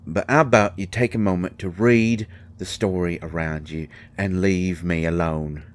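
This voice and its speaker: An actor playing a grieving, gruff and defensive fairy husband in a roleplay audio drama, speaking haltingly and with raw emotion. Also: male voice, low-pitched, steady tone, natural voice. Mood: irritated, defensive. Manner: halting, emotional, swinging from snappish outbursts to quiet murmurs.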